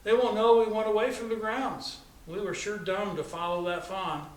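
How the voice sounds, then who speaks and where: An American man voicing an excitable, bossy little girl in a children's storybook, chatty and insistent. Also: high-pitched, normal speed, voice pushed up, natural voice. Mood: glum. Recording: echoey, distant, noisy room recording.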